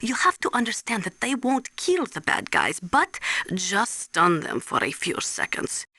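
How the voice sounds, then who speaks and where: Tough female military analyst, Ukrainian accent, bright crisp tone, earnest, deliberate, instructive, video game briefing, dry close sound. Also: on the high side, big pitch swings, no room sound, heavily acted. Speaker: military analyst and nuclear specialist. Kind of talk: scripted game voice acting, briefing.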